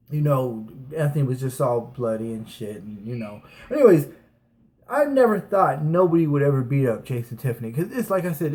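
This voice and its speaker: A man's voice: on the high side, darkish tone. A man in his thirties casually telling stories from his school days on his vlog, rambling with restarts and fillers. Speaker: vlogger. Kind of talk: casual storytelling.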